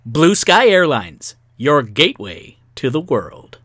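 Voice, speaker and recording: A man's voice: on the high side, big pitch swings, slow, fairly bright tone, no room sound, clean studio sound, heavily acted. A man playing the announcer of an old-time radio serial, narrating in a grandiose, suspenseful way. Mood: polished, selling.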